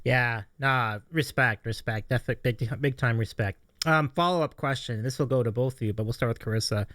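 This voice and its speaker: A man's voice: medium pitch, fairly quick, a bit bright, no echo, studio quality. A man hosting a casual interview, upbeat and chatty, greeting his chat. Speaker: host.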